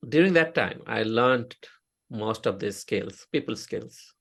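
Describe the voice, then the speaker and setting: A man's voice: mid-range voice, lively intonation, slow, vocal fry. A middle-aged man from India with an Indian accent, a native Oriya speaker, telling his story in a casual interview with many fillers and restarts. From India (Odisha). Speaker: interview guest, software engineer.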